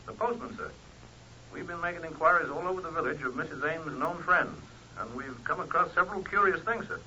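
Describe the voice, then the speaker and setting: An actor playing a deferential police sergeant giving a methodical, respectful report in an old radio drama. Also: male voice, a little high, fairly quick, sharp tone, slight background noise. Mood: dutiful, reporting. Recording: muffled old radio recording, music behind.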